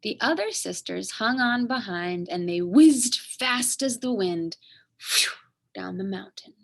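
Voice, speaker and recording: A woman reading a picture book aloud to children as an expressive, dramatic storyteller with emphatic pauses. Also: mid-range voice, vocal fry.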